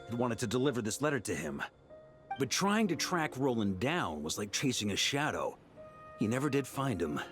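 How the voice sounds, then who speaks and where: An actor playing an easygoing veteran knight leader in a video game, musing and breezy with a theatrical touch. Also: male voice, mid-range voice, natural voice. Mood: storytelling.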